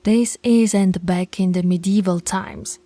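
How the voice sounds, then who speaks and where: A woman voicing a dominant, stern alpha werewolf pack leader in an ASMR roleplay, scolding and taunting in a commanding, deliberate way. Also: medium pitch, steady tone, mellow, soft background music. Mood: stern lecturing.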